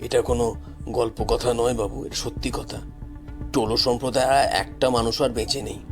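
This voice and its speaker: A man's voice: medium pitch, flat delivery, normal speed, over music, voice pushed down, natural voice. A man voicing a respectful office guard in an audio story, grave and insistent in manner.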